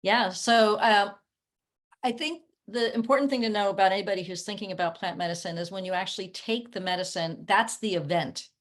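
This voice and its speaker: Woman, guest coach, mid pitch. Earnest, reflective explainer in a conversational online interview. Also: brisk. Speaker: guest, coach working with clients. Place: remote video call.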